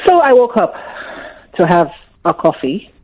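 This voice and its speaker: A woman's voice: lowish voice, big pitch swings, a little slow, a little mellow, slightly rough. A middle-aged woman with a Nigerian accent calls in to a radio show. She is upset and emotional, repeating herself and restarting her sentences as she talks. Her voice comes through a muffled phone line. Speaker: caller.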